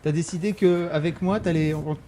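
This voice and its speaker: Male interviewer, playful and provoking; a touch high-pitched; faint noise behind. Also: fairly even intonation, moderate pace, dark tone. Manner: teasing, provoking with rumors. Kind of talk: casual interview chat.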